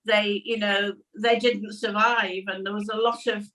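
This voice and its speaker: A woman's voice: mid-range voice, little pitch movement, a little slow, fairly bright tone. An older English woman co-hosting a casual panel chat over a video call, speaking in an earnest, reflective way. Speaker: co-host. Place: room at home, indoors. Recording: distant mic on video call.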